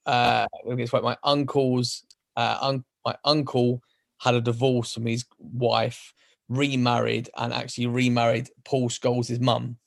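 A man's voice: mid-range voice, unhurried, vocal fry. A man in his thirties with a London accent, chatting casually on a football podcast and rambling through his answers. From London, England. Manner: relaxed, rambling, hesitant restarts. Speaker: podcast co-host. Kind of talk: casual chat.

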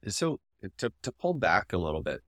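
A man's voice: low voice, sing-song, moderate pace, fairly bright tone, dry recording, studio quality. A man co-hosting a podcast, talking casually and analytically as he explains his opinions. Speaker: podcast co-host.

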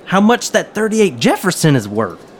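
A man's voice: sits high, very animated, normal speed, a little hiss or hum. An actor playing an eager, folksy small-town hobbyist man in an audio drama, chatty and full of slang. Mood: jovial, chatty.

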